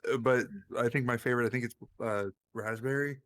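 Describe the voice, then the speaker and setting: A man's voice: medium pitch, steady tone, fairly quick, a bit bright. A man from Texas, a guest chatting casually with crude, laid-back humor. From Texas, USA. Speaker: stream guest. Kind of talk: casual chat over voice call.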